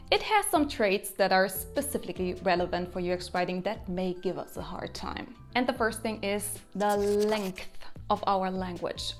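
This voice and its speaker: A woman in her thirties from Germany, whose first language is German, hosts a casual explainer to camera. She talks in a middle-pitched voice, lively and emphatic, pushing key words up in pitch. Faint music sits under her voice. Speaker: educator and channel host.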